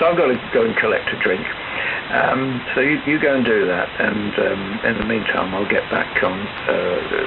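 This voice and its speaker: A man chatting casually and technically as an amateur radio operator, in a hesitant, halting manner, heard over a shortwave radio transmission. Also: fairly low pitch, gravelly. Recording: hissy narrow-band shortwave radio signal. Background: radio static and hiss.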